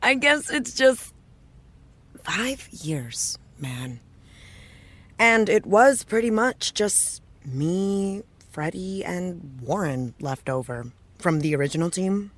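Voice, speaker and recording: A woman's voice: fairly low pitch, lively intonation, slow pace, dry recording. A woman playing a jaded, self-doubting American television actress in an audio drama, talking casually and wryly with trailing thoughts. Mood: weary, resigned. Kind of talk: naturalistic audio drama dialogue.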